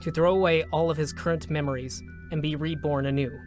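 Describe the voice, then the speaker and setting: Guy narrating a serious drama, slightly high voice, solemn n measured, bit of music under it. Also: fairly even intonation, darkish tone. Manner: measured, solemn, short deliberate phrases.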